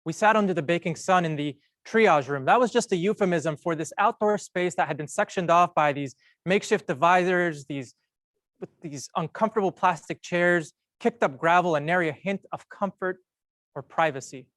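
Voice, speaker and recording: A young man giving a prepared, storytelling talk at a medical school event, measured and earnest with slow emphatic pauses. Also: sits high, a bit bright, no room sound, studio quality. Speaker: medical student speaker.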